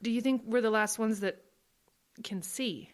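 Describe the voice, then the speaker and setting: A woman's voice: fairly high pitch, measured pace, lightly acted. A woman playing a bitter, sarcastic young woman in an audio drama, blunt and weary in manner. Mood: bleak.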